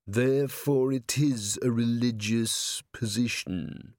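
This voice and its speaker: A man's voice: mid-range voice, a little mellow, dry recording, studio quality, close to an everyday voice. A man voicing a huge male golem in an audiobook, solemn and literal-minded, speaking in formal, ponderous phrases. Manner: formal, deliberate, ponderous wording. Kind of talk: audiobook character voice.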